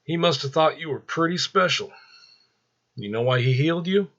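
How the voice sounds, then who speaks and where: A man's voice: on the high side, expressive, normal speed, fairly bright tone, dull audio, pitched above the performer's own voice. A man voicing a cruel, manipulative male Angel of Death with coaxing menace. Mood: falsely soothing.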